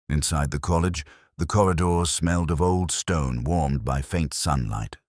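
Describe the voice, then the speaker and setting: A man reading literary detective fiction as a scripted narration, in a measured, reflective and formal manner. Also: a bass voice, no echo, clean studio sound.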